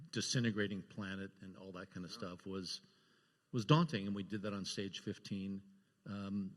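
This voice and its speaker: A man casually recalling film production work as a guest on a live panel. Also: on the low side. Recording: echoey hall, live panel sound.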